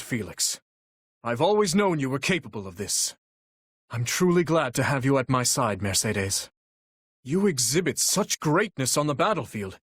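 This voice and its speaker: Noble young warrior prince, game role: courteous, resolute, formal diction, higher-set male voice with sweeping inflection. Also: no echo, studio quality. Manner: formal, courtly, earnest delivery. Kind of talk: video game battle voice lines.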